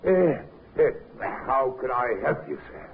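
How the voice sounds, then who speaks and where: An actor playing a suspicious, grumbling innkeeper, blunt and colloquial, in a 1950s radio drama. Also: male voice, mid-range voice, measured pace, close to an everyday voice. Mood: genial, defensive. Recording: muffled, hissy old radio recording.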